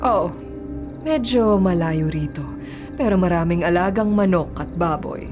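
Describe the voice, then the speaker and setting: A woman's voice: fairly low pitch, measured pace, close to an everyday voice. A Filipino woman acting a guarded, secretive middle-aged mother in a radio drama, with music beneath. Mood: secretive, grave. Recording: music bed under the dialogue.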